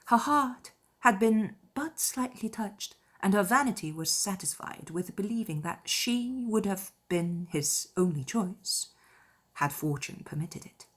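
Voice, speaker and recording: A woman narrating a classic novel as an audiobook, in a measured, formal, scripted style. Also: mid-range voice, expressive.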